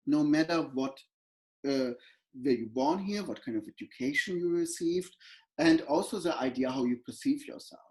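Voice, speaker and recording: A man's voice: medium pitch, a little mellow, poor audio. Hesitant Berlin man. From Berlin, Germany. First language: German. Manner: hesitant, many "uh"s and restarts. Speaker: interviewee. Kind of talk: conversational interview answer.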